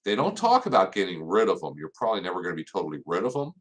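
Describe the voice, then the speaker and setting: Middle aged american guy, slightly low voice, casually answering questions as host. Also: big pitch swings, very fast. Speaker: host, extension plant expert. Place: small indoor office.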